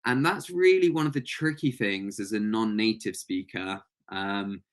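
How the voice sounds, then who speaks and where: A man and English speaker teaching an online language lesson, explaining points and prompting students with encouragement. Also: lowish voice. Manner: encouraging, praising students' answers. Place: online webinar call.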